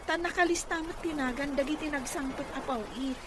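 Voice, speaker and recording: High-voiced young Ilocana daughter, played by an actress. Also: female voice, fairly quick, natural voice. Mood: worried. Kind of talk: Filipino radio drama acting. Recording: clearly noisy ambience behind.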